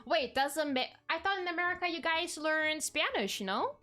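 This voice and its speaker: A woman's voice: high voice, expressive, moderate pace, sharp tone, faint music behind. A young woman from Germany, chatting casually with her stream viewers, rambling with frequent ums and self-corrections.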